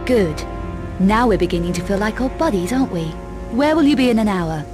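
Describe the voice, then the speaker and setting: An actress playing a tough, self-assured young female adventurer in a video game, curt, sardonic and quietly threatening. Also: mid-range voice, lively intonation, fairly quick, over music, lightly acted. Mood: hard, threatening. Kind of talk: video game cutscene acting.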